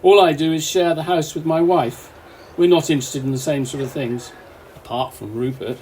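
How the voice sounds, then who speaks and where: A man playing a courteous, quietly wry train passenger in a radio play, mild and conversational. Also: fairly high pitch, fairly even intonation, moderate pace, slightly rough, poor audio. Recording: faint noise.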